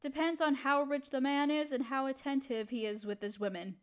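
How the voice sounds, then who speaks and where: A woman playing a gentle, proper young noblewoman who speaks politely and coyly to her servant in an intimate roleplay audio drama. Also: high-pitched, fairly even intonation, moderate pace, close to an everyday voice. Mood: wistful. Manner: polite, formal, period phrasing, coyly teasing.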